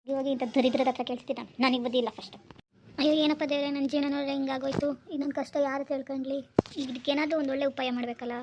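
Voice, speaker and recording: A woman playing a nagging middle-aged mother-in-law who scolds loudly and grumbles to herself. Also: high voice, little pitch movement, rapid-fire, noisy background, close to an everyday voice. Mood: self-pitying.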